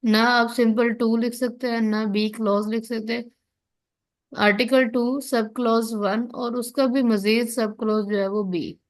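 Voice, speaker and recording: A woman's voice: a little high, little pitch movement, unhurried. A woman with a Pakistani accent giving an explanatory lesson as a lecturer, often checking understanding and answering her own questions. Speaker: law lecturer. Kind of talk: explanatory online lesson, reading out and explaining legal text.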